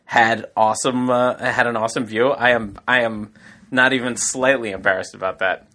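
A man in his thirties co-hosting a comedy podcast. His voice is bright and forceful, with a sharp edge. He pushes back on teasing with incredulous, joking defensiveness. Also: mid-range voice, steady tone, normal speed, slight background noise. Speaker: podcast co-host. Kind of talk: casual comedic chat.

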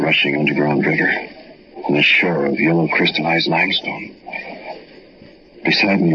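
A haunted, fearful man trapped in a cave, in a 1940s radio horror play. His voice is very deep and forceful, halting and dramatic, on a muffled old broadcast recording. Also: slight background noise. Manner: dramatic, halting, stammering when alarmed. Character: frightened, awestruck man trapped in a cave, narrating his ordeal.

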